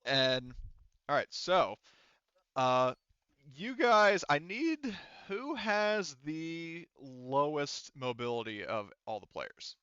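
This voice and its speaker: A man running a tabletop roleplaying game, narrating casually in a halting, rambling, sweary way on a close mic. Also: on the high side, big pitch swings, a bit bright, smooth, no echo, muffled recording. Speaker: game master.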